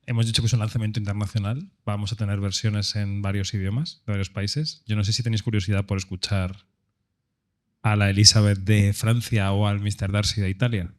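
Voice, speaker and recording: A man moderating a live panel, lively and joking as he prompts the audience. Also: lowish voice, brisk. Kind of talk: live event hosting.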